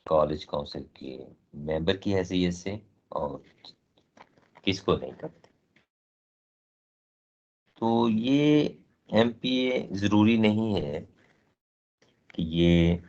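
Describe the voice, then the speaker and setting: A man giving a training lecture with a sore throat and a cough, explaining in a measured way with many pauses and English phrases mixed in. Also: deep voice, darkish tone, lo-fi sound. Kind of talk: slow recorded lecture.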